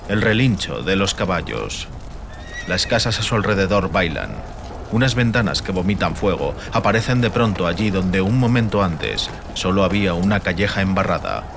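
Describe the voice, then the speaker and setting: A man reads a fantasy audiobook as narrator, with a solemn, measured and theatrical delivery. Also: deep voice, creaky, background noise. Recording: music bed under the voice. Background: music.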